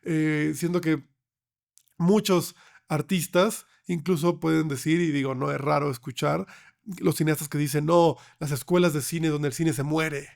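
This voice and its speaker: A slightly hoarse man with a Mexican accent explaining things conversationally as a podcast guest, often ending on tag questions. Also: on the high side, fairly even intonation, measured pace, a little mellow. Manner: checks in with frequent tag questions. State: slightly hoarse from getting over a cold. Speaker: podcast guest, film researcher.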